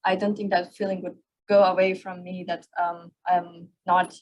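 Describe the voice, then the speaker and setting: A young woman from Afghanistan, a student on a panel, answering with many pauses and restarts. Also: on the low side, flat delivery, darkish tone, low-quality recording. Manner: hesitant, many fillers and restarts.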